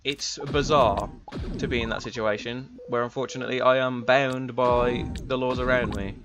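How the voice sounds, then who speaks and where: Laid-back young man, raspy. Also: medium pitch, steady tone, normal speed. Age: young adult. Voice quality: slightly raspy. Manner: rambling, laid-back, many fillers. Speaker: gaming streamer. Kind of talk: casual chat while playing. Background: game music.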